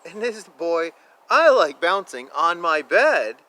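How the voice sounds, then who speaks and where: A man's voice: fairly high pitch, very animated, slow, sharp tone, low-quality recording. A man reading a picture book aloud to young children, playful and chatty, with exclamations and asides. He stretches words for emphasis. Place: outdoors. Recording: outdoor ambient noise, faint. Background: faint outdoor ambience.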